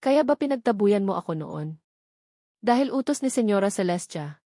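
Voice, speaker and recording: A woman's voice: medium pitch, animated, normal speed, dark tone, dry recording, clean studio sound, lightly acted. A woman voicing an anxious, hesitant young mother and reluctant bride in a romance audio novel, questioning and unsure. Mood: worried.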